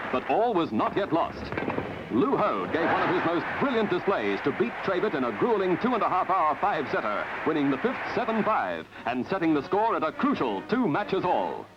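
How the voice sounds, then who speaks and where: A man's voice: on the high side, dull audio, very noisy. A man narrating a 1950s newsreel in a formal, dramatic announcer style, on a vintage film soundtrack. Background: music.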